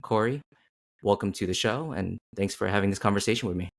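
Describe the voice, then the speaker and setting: A man hosting an interview, asking questions in halting, searching phrases with many fillers. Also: lowish voice, vocal fry. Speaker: host.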